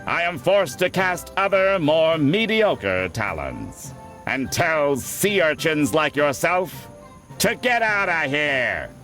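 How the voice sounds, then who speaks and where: Sour snobby cartoon squid, nasal n highish, slow pompous delivery, swoopy. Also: male voice, very animated, fairly bright tone, soft background music, full character voice. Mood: haughty, disdainful. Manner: haughty, theatrical, mock-archaic. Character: pompous, sour middle-aged male squid doorman. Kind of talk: animated cartoon game voice acting.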